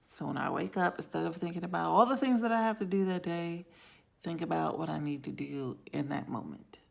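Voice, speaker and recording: A woman vlogging in a casual ramble to camera, with a raspy, hoarse voice and frequent drops into whispers. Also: on the low side, fairly quick. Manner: rambling, self-talk with many trailing whispers. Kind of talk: casual vlog talk to camera.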